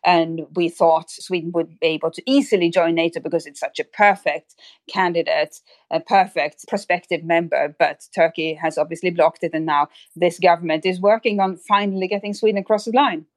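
A woman speaking as an expert guest in an interview, explaining things in an articulate, measured way. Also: lowish voice, fairly even intonation, no room sound. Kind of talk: spoken analysis in an interview.

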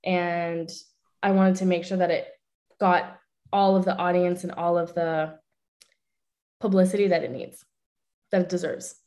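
A woman's voice: lowish voice, flat delivery, dark tone. A young woman with a French accent and French as her first language, an actress talking candidly and swearing freely in a casual interview. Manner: candid, swears freely, talks in quick bursts. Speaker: voice actress and social media manager.

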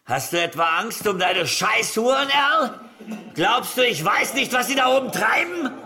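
An actor playing a drunken, boastful, crude gunman in a German western audio drama, slurring, leering and shouting taunts. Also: very high voice, sing-song, normal speed, full character voice. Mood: boastful, menacing. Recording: loud music and saloon crowd behind.

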